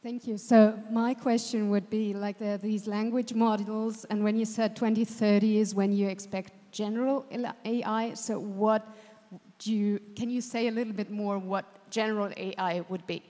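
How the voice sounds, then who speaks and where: A woman's voice: medium pitch, steady tone, moderate pace, dark tone. A woman asking an informal question from the audience at a conference. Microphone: passed audience microphone. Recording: echoey concert hall.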